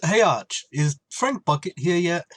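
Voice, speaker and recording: A man's voice: on the high side, lively intonation, a bit bright, no echo, clean studio sound. A man playing a dim-witted, eager tavern regular in an old-time radio comedy. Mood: eager, dopey. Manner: slow-witted, naive.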